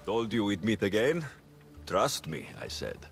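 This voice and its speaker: Wry, low-voiced mercenary hero. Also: male voice, big pitch swings, measured pace, vocal fry, soft background music, heavily acted. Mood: wry, fond. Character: rugged, wry adult male mercenary hero. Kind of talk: video game cutscene acting.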